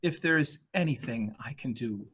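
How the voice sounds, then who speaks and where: Man acting a husky, deferential manservant. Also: medium pitch, expressive, moderate pace, fairly bright tone. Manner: formal, deferential. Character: polite, deferential dismissed manservant. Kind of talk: amateur murder mystery radio play acting.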